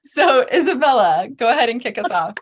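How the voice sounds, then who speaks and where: A woman hosting an online support group, talking slowly and casually with many fillers. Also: on the high side, big pitch swings, slightly rough. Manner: slow, filler-heavy, friendly.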